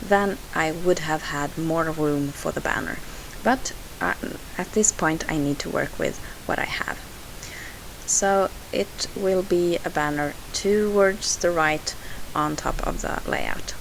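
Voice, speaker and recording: A woman's voice: low-pitched, slow pace, gravelly, rough recording. A Swedish woman with a Swedish accent, narrating a scrapbooking process in a hesitant voice-over full of "uh" fillers and restarts. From Sweden. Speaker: scrapbooking video host. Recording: hissy home voice-over.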